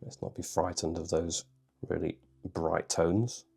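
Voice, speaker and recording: A man with a bunged-up cold voice, calmly talking through a drawing tutorial step by step. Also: low voice, measured pace, gravelly, breathy edge, soft background music. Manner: calm, reassuring, thinks aloud. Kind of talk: relaxed step-by-step tutorial narration.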